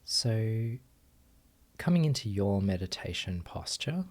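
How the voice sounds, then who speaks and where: A man guiding a meditation as a teacher, giving gentle instructions with long pauses. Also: fairly low pitch, big pitch swings. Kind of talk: guided meditation.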